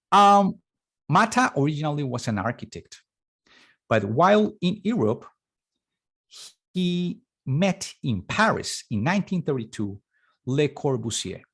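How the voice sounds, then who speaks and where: Lively male art lecturer. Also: mid-range voice, creaky, breathy edge. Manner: animated, thinking aloud with fillers and self-corrections. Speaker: art talk presenter.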